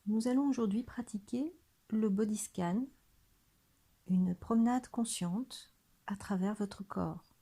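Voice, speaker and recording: A woman guiding a body scan meditation in a soft, breathy, near-whispered voice, gentle and soothing, leaving long pauses. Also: medium pitch. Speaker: meditation guide.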